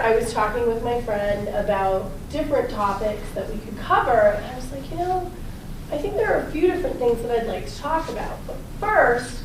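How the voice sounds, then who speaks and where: A slightly hoarse woman with a raspy voice, a chiropractor giving a chatty, upbeat health talk and quizzing her small audience. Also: a little high. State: slightly hoarse from talking a lot. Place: personal training gym, indoors. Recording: echoey room, distant mic, background noise.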